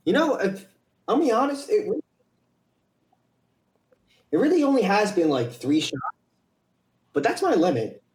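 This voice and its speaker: A man's voice: sits high, huge pitch swings, unhurried, pauses often, darkish tone. A man chatting casually in a rambling, self-deprecating way, like an amateur voice actor before a stream. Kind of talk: casual chat before a livestream. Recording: roomy, echoey home recording.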